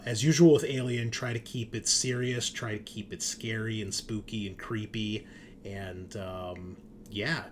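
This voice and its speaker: Male tabletop RPG host, lower register, sci-fi horror game master, slight room noise behind him. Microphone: streaming setup at a computer. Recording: background noise, room ambience.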